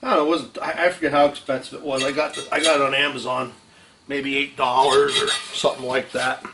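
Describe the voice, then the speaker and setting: A man's voice: medium pitch, little pitch movement, fast, a bit bright. A middle-aged man giving a casual hands-on tutorial in a garage, talking in folksy, salty slang as he works, picked up a few feet from the camera. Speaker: mechanic, host of a how-to video. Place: garage with open door. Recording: clanking metal tool noise.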